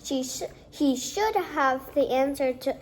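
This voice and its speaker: A woman's voice: high-pitched, slow pace. A woman reading a rhyming children's storybook aloud. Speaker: children's book reader.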